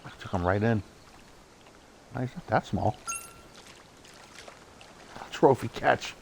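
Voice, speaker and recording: A young man with a Boston accent, chatting casually as he streams a fishing game, getting excited at each good catch and laughing often. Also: fairly low pitch, slow pace, gravelly, slight background noise. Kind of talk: casual live gameplay commentary.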